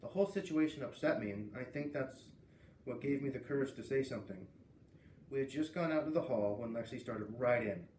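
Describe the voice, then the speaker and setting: Male storyteller for a children's read-aloud: expressive, medium pitch, roomy sound with faint noise. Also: brisk, far from the mic.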